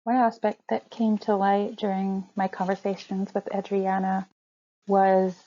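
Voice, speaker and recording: A woman reads her poem in an even, flat delivery. Her voice is mellow and a bit low. The recording sounds dull and lo-fi. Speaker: poet. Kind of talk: poetry reading.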